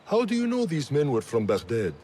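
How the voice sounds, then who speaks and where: An actor playing a firm, pragmatic male assassin leader in a video game, commanding and deliberate. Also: fairly high pitch, huge pitch swings, warm tone, breathy edge, heavily acted. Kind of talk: video game cutscene acting.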